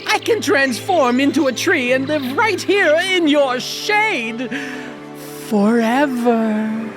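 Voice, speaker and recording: Woman playing a swooning, high-voiced lovestruck alien. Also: animated, music in the background. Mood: lovesick, dramatic. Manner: melodramatic, swooning, drawn-out delivery. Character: lovestruck dreamy shapeshifting alien tourist named Alvin. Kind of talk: scripted audio drama acting.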